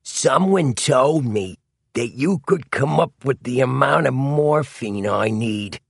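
A man's voice: medium pitch, expressive, slow pace, creaky, slightly rough, dry recording, studio quality, voice pushed up, heavily acted. A man voicing a detective who poses as a desperate, whining drug addict with a put-on Philadelphia American accent, in an audiobook. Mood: needy. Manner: whining, pleading. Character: famous male detective posing as desperate addict.

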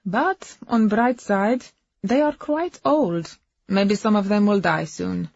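A woman voicing a passionate immigrant wife, dramatic and breathy, in an audiobook story. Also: mid-range voice, slow pace, darkish tone, no room sound, dull audio, voice pushed up, full character voice. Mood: hopeful. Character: passionate Eastern European immigrant wife confessing her love affair.

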